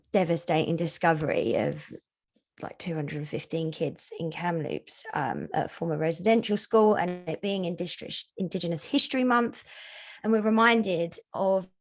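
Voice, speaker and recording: Female host, lower register. Conversational interview style for a book launch. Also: normal speed. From born in the UK, lives in Canada.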